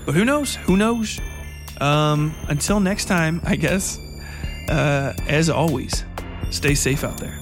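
Profane, rambling podcast guy, higher-pitched voice. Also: takes it slowly, over music. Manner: profane, blunt, self-deprecating. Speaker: podcast host. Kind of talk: rambling solo podcast monologue, casual chat. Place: indoors at a computer.

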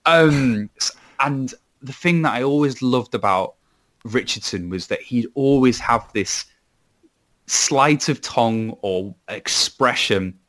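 A man's voice: mid-range voice, slow pace, fairly bright tone. A Welsh man, a podcast co-host, chatting casually about football and rambling with many restarts. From Wales. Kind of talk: casual chat.

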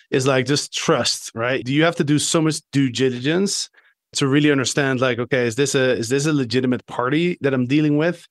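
A man's voice: medium pitch, no room sound. Casual chatty man hosting, dry sound. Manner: casual, many fillers like "like" and "right". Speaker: podcast host. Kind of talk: podcast interview.

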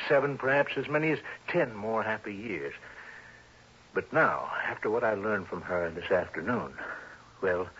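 An actor playing an earnest, worried male family doctor in an old-time radio drama, explaining things in a deliberate, insistent way. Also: on the low side, fairly bright tone, slight background noise. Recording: muffled vintage radio recording.

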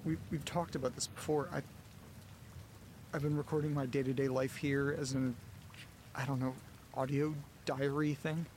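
An actor playing an anxious, distressed young man on a phone call to his mother in an audio drama, halting and pleading as he talks. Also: medium pitch, little pitch movement, darkish tone, a little hiss or hum, close to an everyday voice. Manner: hesitant, halting, faltering, pleading.

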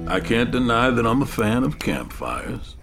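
A man playing a weary, seasoned police detective in an audio drama, folksy, dry and unhurried. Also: medium pitch, big pitch swings, fairly bright tone, faint music behind. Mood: wry, measured. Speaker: actor in audio fiction. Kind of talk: serialized audio drama acting.

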